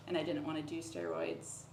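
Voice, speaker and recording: A woman speaking informally as a patient, casually recounting symptoms with lots of "like" and quoted remarks. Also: sits low. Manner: informal, peppered with "like", quoting others. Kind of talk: casual conversational account of symptoms. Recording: roomy echo with clear background noise.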